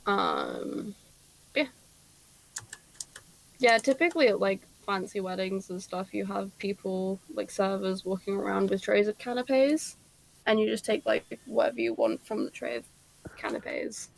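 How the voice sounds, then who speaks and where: A woman's voice: mid-range voice, sing-song, a little slow, creaky, rough recording. A young woman with an English RP accent, streaming a game and chatting casually, explaining things at length with plenty of ums. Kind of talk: casual gaming chat.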